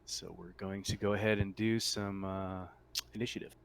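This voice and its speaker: A man running a casual tabletop roleplaying game as game master, explaining in a halting way with repeats and rephrasing. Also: low voice, little pitch movement, creaky, clean tone.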